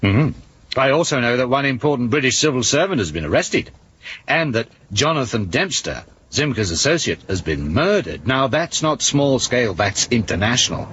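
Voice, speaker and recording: A man's voice: medium pitch, big pitch swings, moderate pace, a bit bright, breathy edge, full character voice. An actor playing a dogged, indignant male police inspector who argues insistently, in a 1970s British radio drama. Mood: pressing, accusing. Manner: insistent, accusatory. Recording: dull, lightly noisy 1970s radio recording.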